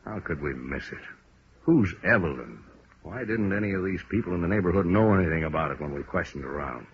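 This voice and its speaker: An actor playing a blunt, weary middle-aged police lieutenant in a 1950s radio drama, gruff and plain-spoken. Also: male voice, deep voice, animated, brisk. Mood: weary, frustrated. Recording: dull old radio recording, faint noise.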